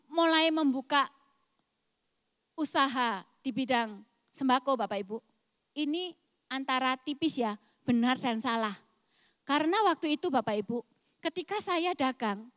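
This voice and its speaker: A woman in her forties preaches with a thick East Javanese accent. Her voice is high and forceful, with an explosive, emphatic delivery. It rings through a reverberant hall as she addresses the congregation. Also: studio quality. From East Java, Indonesia. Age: 40s. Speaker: pastor. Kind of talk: sermon.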